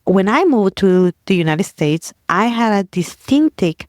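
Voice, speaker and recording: A woman's voice: mid-range voice, big pitch swings, unhurried, darkish tone, no room sound, clean studio sound. A woman from Guatemala with a Guatemalan Spanish accent, speaking English as a second language, hosting a podcast as a Spanish language coach in an upbeat, encouraging way. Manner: upbeat and encouraging, drills words aloud. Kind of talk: solo podcast lesson.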